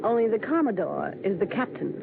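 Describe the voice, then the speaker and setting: An actress playing a cool, terse, guarded woman in a noir tale, understated and deadpan in 1940s radio style. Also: mid-range voice, fairly quick, vocal fry, phone-quality sound. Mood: controlled urgency. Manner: clipped, understated, coolly deadpan. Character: cool, terse female noir schemer, guardedly self-possessed. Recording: thin, noisy vintage radio recording.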